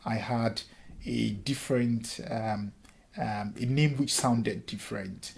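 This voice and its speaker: Give me an earnest Nigerian man in his thirties, with a slightly husky voice. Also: medium pitch, slow, darkish tone. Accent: Nigerian with a light Scottish touch. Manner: earnest, many ums and restarts. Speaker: interviewee, lecturer and former councillor. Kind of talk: reflective interview answers.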